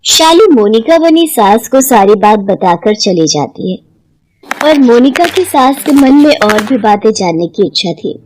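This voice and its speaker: A woman's voice: a little high, big pitch swings, measured pace, a little mellow, rough recording. A woman storyteller delivering scripted narration for a children's tale. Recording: music behind the voice.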